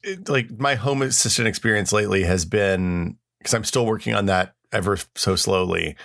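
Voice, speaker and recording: A middle-aged man with a lowish voice co-hosts a podcast. He rambles along, restarts his sentences often and slips in goofy asides. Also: moderate pace, creaky, a bit bright. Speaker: podcast co-host. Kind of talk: casual chat.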